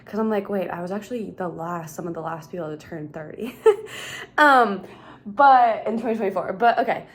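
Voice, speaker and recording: A woman's voice: medium pitch, moderate pace. A woman, chatting casually in a rambling vlog at home, full of "like" and "um". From Minnesota, USA. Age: 30s. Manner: rambling, chatty, heavy use of "like". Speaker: vlogger. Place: her home.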